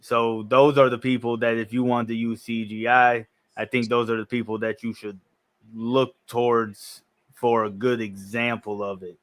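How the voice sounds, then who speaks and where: A man in his thirties hosting a casual chat, relaxed and agreeable, thinking aloud as he talks. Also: medium pitch, moderate pace. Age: 30s. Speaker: podcast host.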